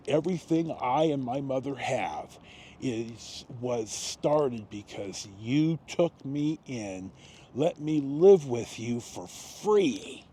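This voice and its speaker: A man in his sixties with an American accent, telling personal stories casually, in a halting, rambling way with frequent pauses and repetitions. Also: mid-range voice, warm tone, slight background noise. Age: 60s. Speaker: storytelling vlogger.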